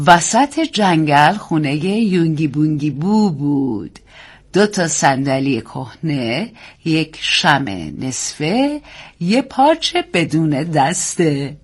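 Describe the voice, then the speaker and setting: Woman putting on a prim nanny reciting slowly. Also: deep voice, very animated, slightly rough, exaggerated voice. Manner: slow sing-song recital. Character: prim nanny woman reading a nursery rhyme. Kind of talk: audiobook story reading.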